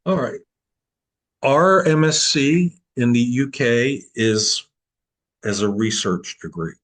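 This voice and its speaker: A man answering applicants' questions at an online open house, relaxed and explanatory, over a video call. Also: on the low side. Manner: relaxed, explanatory, with repeats and restarts. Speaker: program admissions advisor.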